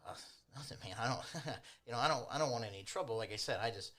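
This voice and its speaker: A man's voice: medium pitch, pushed and bright, natural voice. A man voicing a nervous young day laborer who stammers and evades in a tabletop game. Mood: anxious, pleading. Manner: stammering, halting, evasive.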